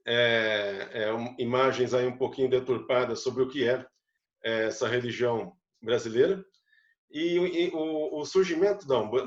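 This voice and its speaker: Male lecturer, explanatory, rhetorical questions, mid pitch, echoey room. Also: muffled recording. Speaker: psychologist giving a talk. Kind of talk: lecture-style live talk.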